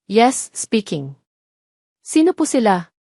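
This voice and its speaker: A woman's voice: fairly high pitch, sing-song, slow pace, dark tone, breathy edge, dry recording, studio quality, heavily acted. A woman voicing a nervous young mother in an audio drama, hesitant, guarded and deferential.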